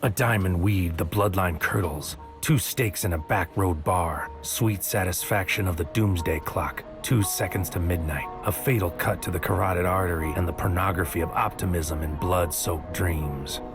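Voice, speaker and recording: A man's voice: sits low, moderate pace, few pauses, over music. An actor playing an anxious, brooding agent who tells his own story in a noir audio drama, in terse poetic fragments. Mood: dark, poetic. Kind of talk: first-person noir audio drama narration.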